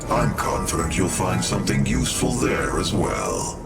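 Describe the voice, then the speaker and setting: A man playing a grave, calculating scientist leader in a video game, speaking in a measured, authoritative way. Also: low-pitched, wildly swooping pitch, creaky, exaggerated voice. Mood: calm authority. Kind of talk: video game cutscene acting. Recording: distant sound under loud music.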